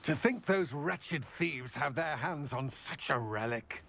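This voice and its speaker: A man playing an earnest, weary older goblin ally in a game, speaking in a husky, measured and formal way. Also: on the high side, expressive, a bit bright, a little hiss or hum, exaggerated voice. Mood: urgent. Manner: measured, formal, grave phrasing. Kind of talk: scripted game dialogue.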